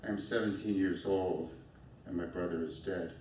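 A middle-aged man with a low voice reads his poem in a steady, measured way. He stands at a stand mic on a small stage. The sound is caught from far off in an echoey room. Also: a little hiss or hum. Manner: steady, measured reading from paper at a lectern. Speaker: poet reading his own work. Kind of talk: poetry reading. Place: small dark stage with spotlights. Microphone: stand mic at a lectern, filmed from far off.

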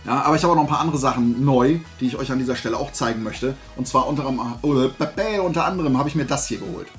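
A man's voice: mid-range voice, rapid-fire, soft background music. A man in his forties from Germany chatting casually to camera as a vlog host, in a colloquial, self-deprecating way. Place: small indoor room lined with collectible shelves.

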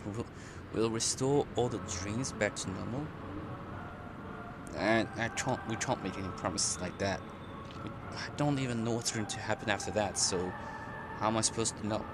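A man streaming a game with casual, halting live commentary. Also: fairly low pitch, background noise. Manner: halting, reads dialogue choices aloud. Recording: loud game music behind voice. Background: game music.

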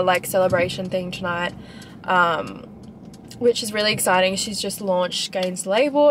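A woman's voice: mid-range voice, a little hiss or hum. A woman in her twenties with an Australian accent, chatting casually and gushingly in a vlog style. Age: 20s. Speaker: vlogger. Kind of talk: casual vlog chat, unboxing.

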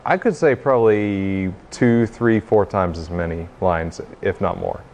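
A young man giving an informal tech demo talk in a hesitant, halting way, recorded in a meeting room. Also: sits low, a little mellow, a little hiss or hum. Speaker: presenter, game developer. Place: indoor hackerspace meeting room, small stage.

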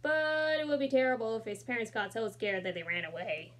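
A young woman reading a children's picture book aloud in a small room, expressive and adding casual asides, recorded on a laptop camera. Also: on the high side, a little fast.

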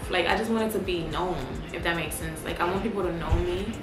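A woman's voice: lowish voice, fairly quick. A young woman from Haiti and Harlem, chatting loosely on camera with lots of slang and fillers. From Haiti, then Harlem, New York. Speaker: YouTuber. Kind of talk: casual get-to-know-me chat. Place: living room, seated on a sofa. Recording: echoey room with loud music behind.

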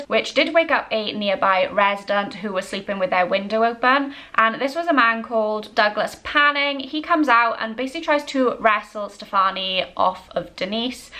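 A woman's voice: mid-range voice, bright, forceful tone. A woman in her twenties hosting a true-crime vlog, telling the story to camera in a conversational, matter-of-fact way. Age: 20s. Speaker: host. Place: small indoor home room.

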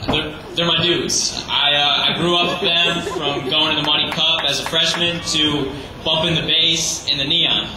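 A teenage boy giving an earnest, slightly halting graduation speech as salutatorian. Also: on the high side, steady tone. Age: teens. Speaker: graduating student. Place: large hall or gym. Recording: echoey hall, far from the mic, noisy.

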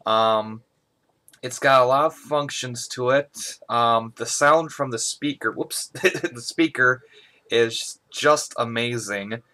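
Casual young man, medium-pitched voice. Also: takes it slowly, bright, forceful tone. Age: young adult. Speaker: hobbyist video host. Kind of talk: casual product review chat. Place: small bedroom indoors.

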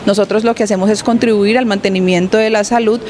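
A woman's voice: medium pitch, steady tone, fast talker, darkish tone, a little hiss or hum. Young woman, hospital coordinator. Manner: informative, with hesitant fillers. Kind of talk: on-site news interview. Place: outdoor hospital courtyard. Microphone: handheld station mic.

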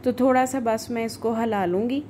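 A woman's voice: a little high, fairly even intonation, moderate pace, dark tone. A woman giving a chatty, informal recipe voice-over as a home cook. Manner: informal, chatty, homely asides. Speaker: home cook, cooking channel host. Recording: voice-over with clear background noise.

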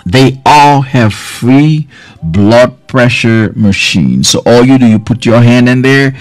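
A man's voice: medium pitch, expressive, takes it slowly, no room sound. A man in his forties from Haiti, a health care provider giving casual, direct advice to viewers on a live stream from a small office. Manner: earnest, direct, advising viewers, loose filler words. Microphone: studio mic on boom arm, front camera close-up.

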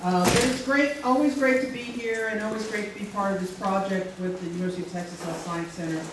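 A middle-aged woman with a strong, loud voice gives a chatty, joking talk with many fillers to a conference audience. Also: mid-range voice, fairly even intonation, fast talker. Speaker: speaker. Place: civic center meeting room. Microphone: handheld mic, far camera. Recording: echoey hall from far off, noisy.

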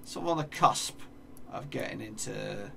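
English streamer, 30s, gravelly fry, mic set back with noise behind, self-muttering casual game commentary. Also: male voice, lowish voice, lively intonation, measured pace, bright, forceful tone, distant mic. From England. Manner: mutters place names to himself, swears playfully. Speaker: gaming streamer. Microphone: desk mic, seen in webcam corner.

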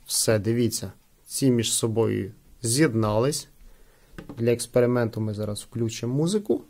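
A man with a creaky voice giving casual unboxing and product testing commentary as a host. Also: mid-range voice, normal speed, warm tone. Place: indoors at a wooden table.

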